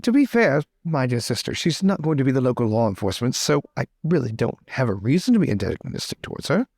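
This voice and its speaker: A man's voice: medium pitch, big pitch swings, quick pace, gravelly, a little mellow, husky, no room sound, studio quality, exaggerated voice. A man playing a cocky, easily distracted lord in a role-play drama, pompous and stammering as he defends himself. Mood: smug, defensive.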